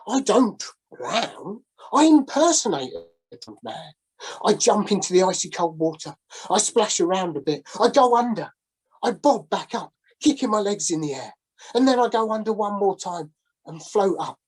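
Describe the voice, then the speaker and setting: A woman's voice: medium pitch, darkish tone, breathy edge, pitched above the performer's own voice, poor audio. Woman playing a wheedling down-and-out old sailor. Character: shabby down-on-luck male ex-sailor, wheedling.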